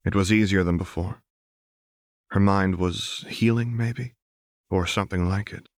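Man, audiobook narration, unhurried, even intonation, subdued, scripted fiction, dry close recording. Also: low voice, no room sound. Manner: measured, subdued.

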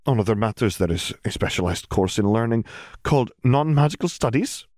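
A man's voice: lowish voice, lively intonation, brisk, a little mellow, no echo, studio quality, natural voice. A man voicing a stern, formal older witch schoolteacher with precise diction in an audiobook story. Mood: measured, formal.

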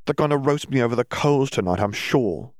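A man's voice: mid-range voice, lively intonation, a little fast, no room sound, clean studio sound, pitched above the performer's own voice, natural voice. A man voicing a brash, feisty teenage schoolgirl who talks bluntly and teases, in an audiobook story. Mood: exasperated.

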